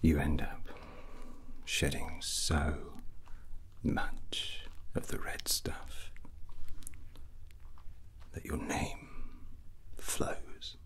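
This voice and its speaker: A man playing a drunken, proud and menacing rogue prince in an ASMR roleplay, whispering slowly and deliberately in ornate language with long pauses. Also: completely flat delivery, exaggerated voice. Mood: brooding, menacing. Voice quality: low breathy whisper. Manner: slow, deliberate, pausing, ornate wording.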